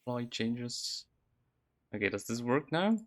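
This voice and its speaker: A man giving casual live game commentary, reacting haltingly as he talks to himself and his viewers. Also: mid-range voice, lively intonation. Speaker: game streamer.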